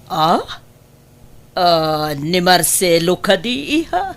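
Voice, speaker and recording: An actress playing a controlling middle-aged mother in an Ilocano audio drama, insistent and deliberate, with an Ilocano accent. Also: lowish voice, sing-song, heavily acted. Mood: coaxing.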